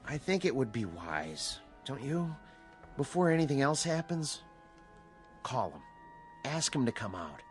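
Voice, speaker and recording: An actor playing a calm, authoritative doctor giving orders in a radio drama. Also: male voice, mid-range voice, a little slow, long pauses, soft background music. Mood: firm.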